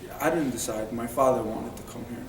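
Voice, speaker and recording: A man's voice: mid-range voice, a little fast. A young man in his twenties from Syria, a student guest, answering plainly with fillers. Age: 20s. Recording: echoey room, far from mic, noise.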